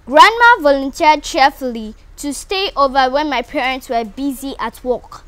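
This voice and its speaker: Woman reading a story, high voice, slow and careful, really dry recording. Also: animated, fairly bright tone, no echo. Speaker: reader. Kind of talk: reading a first-person story aloud.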